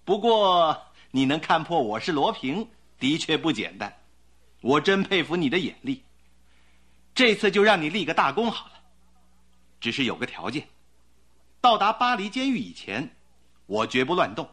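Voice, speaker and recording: A cocky young gentleman thief in a radio play, bright forceful voice, kinda husky, jaunty and theatrical. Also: on the high side, big pitch swings, normal speed, pauses often, full character voice. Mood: jaunty, amused. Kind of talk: Mandarin radio drama acting.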